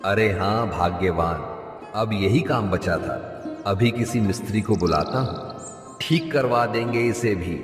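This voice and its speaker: A man's voice: fairly low pitch, measured pace, close to an everyday voice. A man playing a kind, henpecked village headman, weary and placating, in a cartoon moral story. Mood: exasperated. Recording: distant echoey reverb, music behind.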